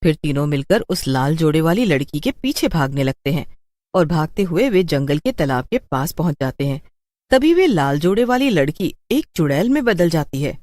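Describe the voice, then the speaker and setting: Dry, low-voiced female story narrator. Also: sing-song, moderate pace, darkish tone, no echo. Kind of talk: scripted horror story narration.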